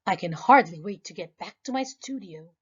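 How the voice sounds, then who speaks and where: A woman's voice: mid-range voice, lively intonation, normal speed, breathy edge, muffled recording. A woman voicing a kindly young male artist in a storybook, speaking thoughtfully. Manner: thoughtful and gentle.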